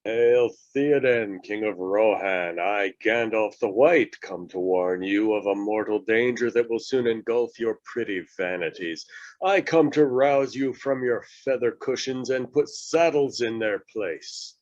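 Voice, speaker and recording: A man's voice: fairly low pitch, a little slow, darkish tone, dull audio, lightly acted. A man playing a grave, commanding old wizard, declaiming solemnly in a script read. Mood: stern.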